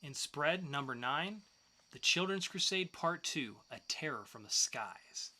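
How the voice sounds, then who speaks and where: A man's voice: on the high side, expressive, unhurried, pushed and bright. A man co-hosting a podcast, presenting scripted segments in an upbeat style. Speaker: podcast co-host.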